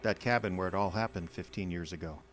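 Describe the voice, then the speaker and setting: A man's voice: low voice, fast, background noise, lightly acted. A man playing a worried, kindly small-town bartender in a horror audio drama, folksy and a little hesitant. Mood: wary, pleading.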